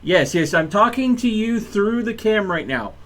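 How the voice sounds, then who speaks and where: Bright, high-voiced man bantering on stream. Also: lively intonation, normal speed. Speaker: live streamer hosting a gaming session. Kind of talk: casual bantering game-stream chat.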